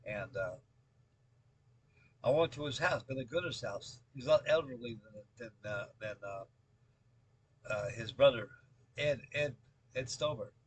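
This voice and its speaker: A husky-voiced American man in his late seventies talks casually to viewers of his hobby channel. He has a mid-pitched voice and a halting, rambling storyteller's delivery, often circling back and repeating words. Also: lively intonation, poor audio. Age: late 70s. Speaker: scale model builder and video host.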